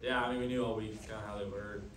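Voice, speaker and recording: A young man answering questions at a postgame press conference, earnest and quick, with lots of fillers. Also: on the low side, level tone, noisy background. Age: young adult. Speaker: college quarterback. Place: press room, seated at a table. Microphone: table mic, camera at a distance. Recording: echoey room, from a distance.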